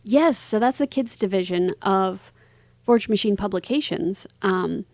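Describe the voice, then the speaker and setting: A woman's voice: mid-range voice, measured pace, darkish tone, no room sound, clean studio sound. A woman speaking as an interview guest, answering in a casual, chatty, conversational way. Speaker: interview guest, author and publisher.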